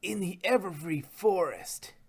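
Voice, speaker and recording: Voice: mid-range voice, expressive, a little slow, husky. A performer voicing a brash, mischievous young pony filly in a story reading. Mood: cocky.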